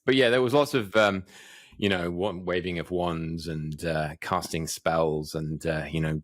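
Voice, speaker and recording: A man's voice: sits low, steady tone, measured pace, dry recording, studio quality. A man co-hosting a casual tech podcast, chatty and rambling, laughing at his own jokes. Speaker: podcast co-host.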